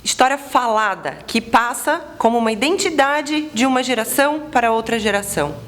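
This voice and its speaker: A woman's voice: fairly high pitch, animated, fairly bright tone. A woman playing a formal Portuguese-accented teacher. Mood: explaining. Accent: Portuguese (European). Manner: formal, measured classroom explaining. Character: new history teacher from Portugal, patient and formal. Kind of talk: student short-film acting.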